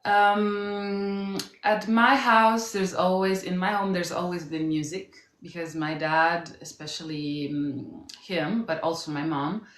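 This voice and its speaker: Italian girl in her 20s chatting in an interview, really low voice, echoey room. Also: fairly even intonation, slow pace. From Italy. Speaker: guest singer. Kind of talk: casual interview chat.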